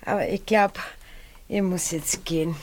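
An actress playing a shrewd, meddling baroness in a radio drama, genteel but colloquial, with a Viennese accent. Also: female voice, lowish voice, measured pace. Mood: cautioning. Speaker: radio play actor. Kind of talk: naturalistic radio drama dialogue.

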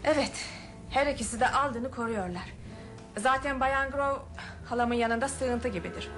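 High female voice, actress as composed suspicious daughter of a rich house, radio drama, firm formal delivery, music behind. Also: moderate pace, a bit bright, lightly acted. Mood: cool, accusing. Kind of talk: radio theatre acting.

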